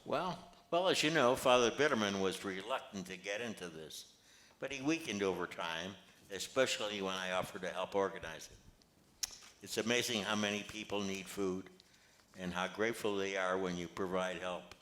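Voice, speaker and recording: A man's voice: deep voice, normal speed, a bit bright. An older man reading the part of a genial, modest grandfather in a play, plainly and a little hesitantly. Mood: matter-of-fact. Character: genial, modest grandfather who organizes charity work. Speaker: amateur actor reading a play. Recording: echoey, roomy amateur recording.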